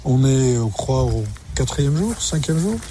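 A man reporting for radio from a tournament, introducing and interviewing a guest in a measured way. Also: mid-range voice, expressive, warm tone, slight background noise.

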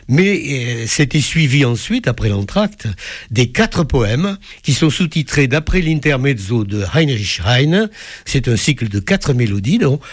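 A man's voice: mid-range voice, no echo. A man giving an enthusiastic spoken concert review as a music critic, effusive and fond of literary turns of phrase.

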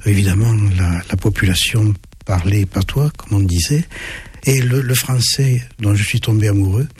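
A man in his seventies whose first language is German, speaking in a very husky, breathy voice that sits low. He answers an interviewer thoughtfully but haltingly, stumbling and restarting often. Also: a little mellow. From southwest France. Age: 70s. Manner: halting, many restarts and fillers. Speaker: guest author. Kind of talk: radio interview.

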